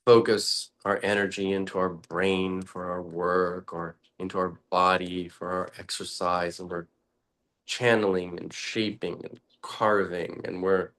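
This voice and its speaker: A man leading a guided meditation talk as a teacher, speaking deliberately in short, halting phrases with long pauses. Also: low voice, fairly bright tone.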